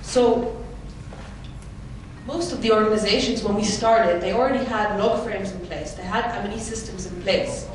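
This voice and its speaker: A woman from the Netherlands giving a conference presentation, explaining clearly with occasional fillers. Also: mid-range voice, moderate pace, a crowd in the background. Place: large conference hall. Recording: echoey hall, mic from far off, noisy.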